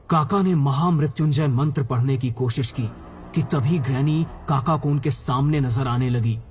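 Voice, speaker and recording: A man's voice: medium pitch, darkish tone. A man narrating a scripted horror story for a cartoon. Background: background music.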